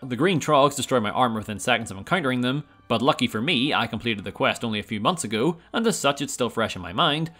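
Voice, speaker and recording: A man's voice: medium pitch, lively intonation, fast talker, few pauses, bright, forceful tone, faint music behind. A man narrating a scripted video game challenge with dry, wry understatement. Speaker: YouTube gaming challenge narrator.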